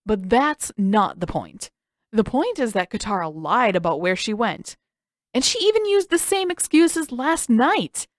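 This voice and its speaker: A woman's voice: fairly high pitch, very animated, a little slow, dry recording, studio quality. A woman voicing an intense, suspicious teenage boy in an audiobook, emphatic and insistent. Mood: defensive, insistent. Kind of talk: acted audiobook dialogue.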